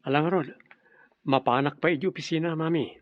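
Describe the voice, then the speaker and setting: A man's voice: a little high, fairly even intonation, fairly quick, dry recording, phone-quality sound, close to an everyday voice. A man with a Filipino accent playing an earnest, dutiful grown son and suitor in an Ilocano radio drama. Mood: reassuring.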